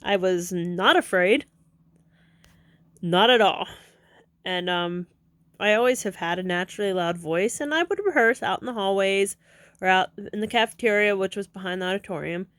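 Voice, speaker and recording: A chatty woman in her thirties, vlogging from home in a loose, rambling way. She drifts between thoughts, corrects herself as she goes and laughs easily. Her voice sits at a middle pitch in a dry, close sound. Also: moderate pace, fairly bright tone, dry recording. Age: 30s. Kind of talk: casual rambling vlog talk.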